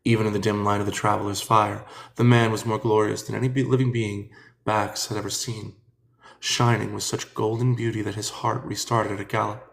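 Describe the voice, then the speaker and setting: A man reading a fantasy audiobook in a measured, expressive storytelling manner. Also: lowish voice, creaky. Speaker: narrator. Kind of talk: dramatic fantasy audiobook narration.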